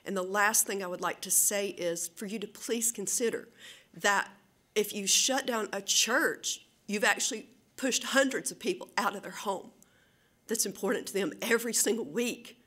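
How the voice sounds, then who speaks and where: A woman with a Southern American accent giving a public comment at a city council meeting, earnest and pausing often with fillers. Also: medium pitch, pushed and bright. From Southern United States. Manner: earnest, with frequent pauses and fillers.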